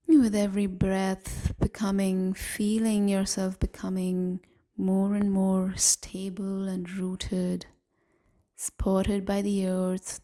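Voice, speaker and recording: A woman leading a guided meditation in a gentle, soothing, half-whispered voice, leaving long pauses between phrases. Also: medium pitch. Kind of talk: guided evening body scan meditation.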